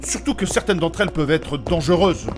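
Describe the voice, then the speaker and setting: A man's voice: a little high, a little fast, natural voice. A man playing a pedantic, formal scholar of magic who explains precisely and gets flustered easily in a comedic fantasy audio drama. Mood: cautious. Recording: music playing behind the voice. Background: music.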